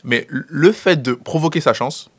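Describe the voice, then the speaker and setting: A man's voice: fairly high pitch, a little slow, slightly rough, no echo. A young man from the Central African Republic with a Central African French accent, a film director telling stories as an interview guest, laughing often and tripping over repeated words. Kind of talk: casual interview chat.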